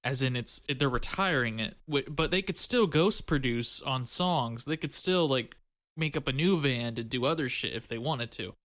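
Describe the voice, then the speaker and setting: A man with a bright, crisp-edged tone chats as a podcast co-host. His delivery is informal, wry and full of joking asides. He is recorded dry, with no room sound. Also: medium pitch, moderate pace, no echo. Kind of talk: casual banter.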